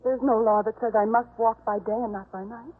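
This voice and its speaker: An actress plays a tired, humble woman traveller with a husky voice. She is guarded and pleading, in old radio drama style, with long hollow reverb on a narrow recording. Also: on the high side, steady tone, fairly quick, natural voice. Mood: pleading, defensive. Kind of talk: 1950s radio drama acting. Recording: hollow, reverberant, band-limited 1950s radio.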